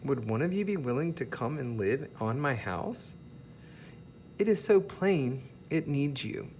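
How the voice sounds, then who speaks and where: A man voicing an earnest, polite, admiring hermit crab in a children's storybook, with courteous, expressive delivery. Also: mid-range voice, a little slow, slight background noise, lightly acted.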